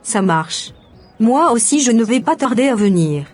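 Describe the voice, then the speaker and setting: Woman playing a worried wife, higher voice, polite, concerned, faint music behind. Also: very animated, a little slow. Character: worried wife of a sick preacher.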